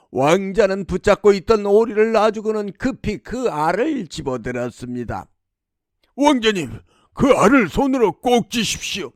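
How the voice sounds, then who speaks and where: A man's voice: high voice, smooth, dry recording, clean studio sound. A man narrating a scripted fairy tale as a storyteller.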